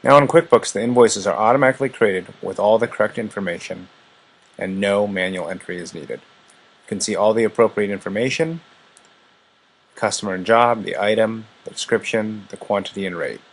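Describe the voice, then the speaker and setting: Low-pitched, slightly husky male demo narrator. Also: normal speed. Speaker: software demo presenter. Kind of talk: scripted product demo narration.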